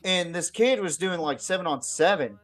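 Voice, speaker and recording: A man's voice: sits high, expressive, moderate pace, a bit bright. A man hosting a casual, unscripted football podcast, talking bluntly with swearing and often repeating words as he goes. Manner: blunt, profane. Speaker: sports podcast host. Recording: music behind the voice. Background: music.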